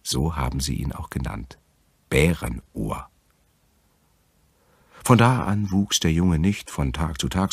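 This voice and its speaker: A man with a very deep, gravelly voice narrating a fairy tale as a storyteller. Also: dry recording.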